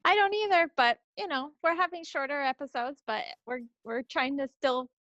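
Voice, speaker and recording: A woman's voice: high voice, moderate pace, a bit bright, dry recording. An American woman co-hosting a podcast in casual chat, on a slightly dull recording. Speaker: podcast co-host.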